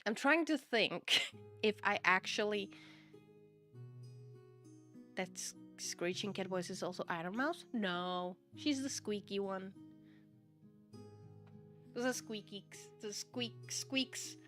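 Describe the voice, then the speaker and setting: A woman with a Czech accent chats with her live audience in a middle-pitched voice. She laughs easily and keeps checking in with "okay?" as she makes her points. Faint music plays quietly behind her. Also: slow pace, long pauses. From Czech Republic. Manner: chatty, laughs often, repeats "okay?" to stress points. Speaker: VTuber streamer. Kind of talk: casual livestream chat, answering viewer chat.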